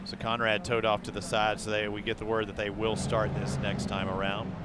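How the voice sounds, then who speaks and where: A man commenting live on an off-road truck race at an outdoor track, getting excited as the action picks up. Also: fairly low pitch, a little fast. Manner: excitable play-by-play, rising with the action. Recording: loud engine noise from outdoor track. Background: racing trucks and engines.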